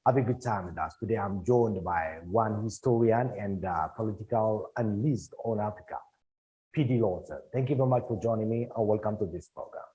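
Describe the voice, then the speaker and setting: A man's voice: lowish voice, brisk, mellow, rough recording. A man hosting a broadcast interview in a formal, courteous way. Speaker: program host and producer.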